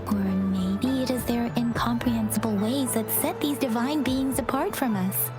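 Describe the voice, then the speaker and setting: Game companion, actress: thoughtful young woman, high gentle voice, cautious and polite, music underneath. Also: moderate pace, lightly acted. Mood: thoughtful, concerned. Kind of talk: video game voice acting.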